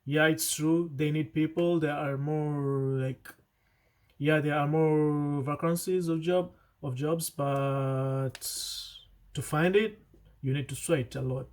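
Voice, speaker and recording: A man's voice: on the high side, fairly even intonation, takes it slowly, a little mellow. A young man talking casually to camera, earnest and chatty, often restarting his sentences and adding asides. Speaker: vlogger. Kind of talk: casual vlog advice to camera.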